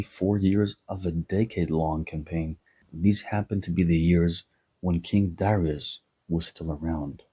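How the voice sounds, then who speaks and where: A man's voice: low-pitched, mellow, slightly rough. A man hosting a history video, giving a sarcastic, opinionated commentary with wry asides. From Greece.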